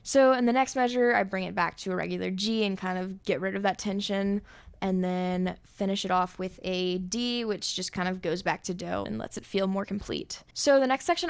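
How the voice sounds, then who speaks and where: Young woman, high voice with noticeable vocal fry, relaxed explainer as a songwriter. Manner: relaxed, explanatory, with thinking fillers. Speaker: songwriter explaining her arrangement. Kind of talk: casual music theory walkthrough.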